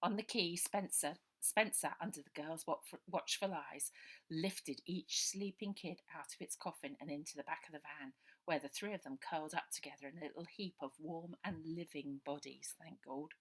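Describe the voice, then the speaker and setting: A woman's voice: deep voice, normal speed. A woman narrating a children's adventure story as its author, reading aloud.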